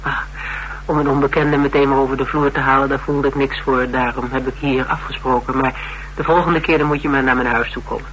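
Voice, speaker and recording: An actor playing a direct, inquisitive Dutch detective in a radio play, with a drily teasing, businesslike manner. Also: male voice, medium pitch, flat delivery, a little fast, fairly bright tone, slight background noise, natural voice, poor audio. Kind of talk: naturalistic radio play dialogue.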